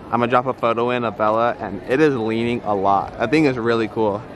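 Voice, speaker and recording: A young man co-hosting a travel vlog in a relaxed, joking way, filming himself at arm's length. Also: mid-range voice, quick pace, very noisy. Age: young adult. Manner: laid-back, joking. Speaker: vlogger. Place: train station and outdoor city square. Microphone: handheld camera at arm's length.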